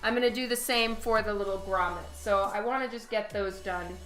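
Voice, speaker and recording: A woman streaming her digital painting, hoarse from a cold with her voice half gone, chatting casually with viewers and laughing often. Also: medium pitch, a little fast, fairly bright tone, soft background music. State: hoarse from a cold, voice partly lost. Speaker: digital comic artist streaming. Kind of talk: casual livestream commentary while painting.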